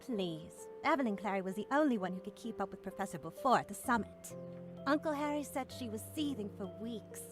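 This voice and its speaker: Visual novel role: haughty young gossip at an academy, played by a woman, mid-pitched, music clearly underneath. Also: animated, brisk, lightly acted. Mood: snide. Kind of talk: visual novel game voice acting.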